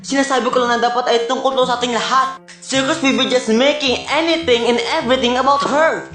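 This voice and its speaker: A woman's voice: sits high, fairly even intonation, a little fast, roomy sound, music in the background, natural voice. A woman voicing a put-upon, jealous male animatronic bear in a cartoon fan dub, earnest and complaining. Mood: suspicious.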